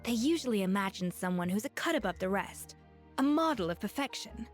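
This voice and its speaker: An actress playing a proud, exacting master chef with high standards in a video game. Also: female voice, expressive, fairly quick, close to an everyday voice. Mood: earnest, reflective. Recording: background music behind the voice.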